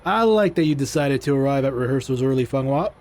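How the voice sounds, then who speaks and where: A man's voice: mid-range voice, animated, quick pace, a little mellow, lightly acted. A man playing a suave, commanding magician in an audio drama. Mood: calm, encouraging. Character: suave, composed, commanding male magician named Rao.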